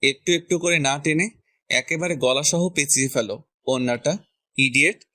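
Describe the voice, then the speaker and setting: Male actor as irritable young man, scolding, curt, sharp bright tone, close dry sound, romantic audio story. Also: a little high, normal speed, no echo. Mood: annoyed, scolding. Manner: scolding, curt, mixes English words into speech. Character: irritable, bossy young man, impatient older brother type.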